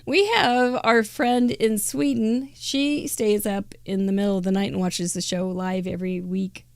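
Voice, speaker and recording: A playful woman hosts a live crafting show, chatting easily and laughing often. Her voice sits at an ordinary middle pitch, and the recording is dry and close with no room echo. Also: steady tone, unhurried. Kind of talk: casual live-stream chat.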